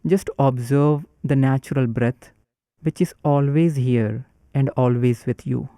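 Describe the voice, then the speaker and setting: Male meditation guide, warm dark tone, unhurried calm instruction. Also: medium pitch, no room sound, clean studio sound.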